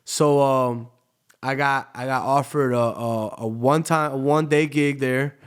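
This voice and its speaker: Chill dj dude from tampa on a podcast, smooth clear voice, middle pitch, lots of "you know". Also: male voice, steady tone, measured pace. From Tampa, Florida. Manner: laid-back, peppers his talk with "you know". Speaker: podcast guest, DJ. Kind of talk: casual storytelling in a podcast interview.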